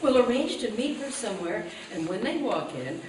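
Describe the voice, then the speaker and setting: High, swooping scheming housewife, echoey hall. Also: female voice, very animated, normal speed. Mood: conspiratorial. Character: scheming, jealous, excitable 1940s sitcom housewife. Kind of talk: live re-staged old-time radio comedy acting. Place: live stage event before an audience. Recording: echoey hall from far off, noisy.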